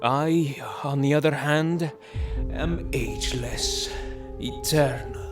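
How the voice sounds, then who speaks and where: A man voicing a silky, mocking ageless vampire. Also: on the high side. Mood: disdainful. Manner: silky, taunting, slow and theatrical. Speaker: villain. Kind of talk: audio drama acting. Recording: music score behind the voice.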